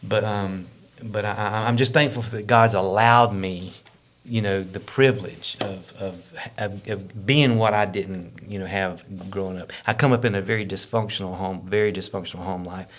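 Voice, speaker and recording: Middle-aged Southern preacher with a low voice. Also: male voice, fairly even intonation, normal speed. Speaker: podcast guest, preacher. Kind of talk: personal storytelling.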